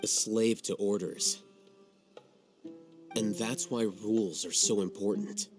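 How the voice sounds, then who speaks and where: A man playing a composed, aloof young scholar in a video game, speaking in a measured, matter-of-fact and articulate way. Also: lowish voice, fairly even intonation, music in the background. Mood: lecturing. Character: composed, aloof young male scholar, calculating and self-assured.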